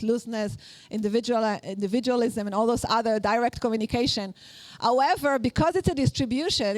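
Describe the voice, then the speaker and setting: A woman's voice: a little high, no echo. A woman from Israel with an Israeli accent, giving a live workshop lecture on negotiation in an earnest, explanatory way with many fillers and restarts.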